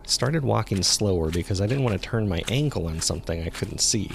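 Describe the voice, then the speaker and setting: A man playing a jogger who recounts a frightening experience in the woods, as scripted first-person horror narration told in a measured, suspenseful way. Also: on the low side, expressive, creaky, slight background noise. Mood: measured, uneasy. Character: local male jogger recounting a terrifying discovery in the woods. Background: ambient sound effects.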